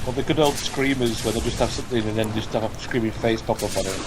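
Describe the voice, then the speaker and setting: A man's voice: mid-range voice, fairly even intonation, fast talker, noisy background. A man giving casual let's play commentary, explaining what to do and swearing now and then. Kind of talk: casual gameplay commentary and chat. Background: video game music.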